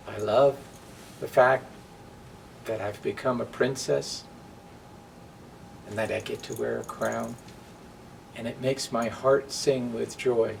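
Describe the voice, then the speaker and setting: A man recorded at a distance from the mic over faint noise, speaking as a storytime host. His voice is creaky and he takes his time, addressing viewers in an earnest, emphatic way. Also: mid-range voice. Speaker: storybook reader. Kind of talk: read-aloud storytime and personal address to viewers.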